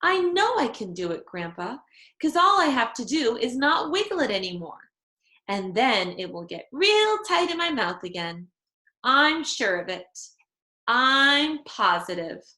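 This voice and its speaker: A woman's voice: high-pitched, sing-song, a little slow, bright, forceful tone, pitched above the performer's own voice, exaggerated voice. A woman voicing a chatty, stubborn first-grade girl from a children's storybook, with childish, emphatic delivery. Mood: proud, insistent. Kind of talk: children's storybook read-aloud. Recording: echoey room.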